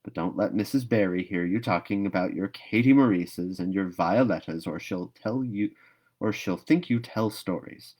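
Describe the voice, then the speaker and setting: A stern older woman from a storybook, read by a man in a low voice. The delivery is dry, brisk and practical, with steady disapproval and no patience for fancy. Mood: dry, disapproving.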